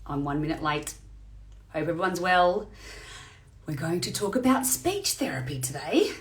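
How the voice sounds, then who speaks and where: A woman in her thirties hosting a casual live video chat, chatty and quick, with lots of fillers. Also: lowish voice, expressive. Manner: chatty, talks fast with many fillers. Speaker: host.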